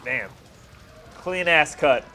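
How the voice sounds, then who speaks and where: A man's voice: on the high side, expressive, takes it slowly, bright, forceful tone, a little hiss or hum. A man hosting a reaction video, giving casual, slangy fan commentary on fight scenes. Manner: informal slangy fan talk.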